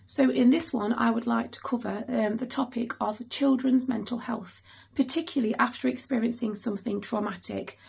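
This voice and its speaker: A hoarse woman in middle age speaks earnestly to her viewers with lots of pauses and ums. Her voice is heavily gravelly and deeply raspy, and it sits a little high for a woman. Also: fairly even intonation, a little mellow. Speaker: mother and channel host. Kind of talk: earnest serious vlog talk to camera.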